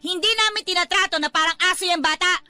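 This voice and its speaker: A woman's voice: extremely high pitch, fast talker, pushed and bright, no echo, heavily acted. An actress playing a harsh, defensive middle-aged mother in a Filipino radio drama, scolding and dismissive, with faint music underneath. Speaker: Aling Gloria.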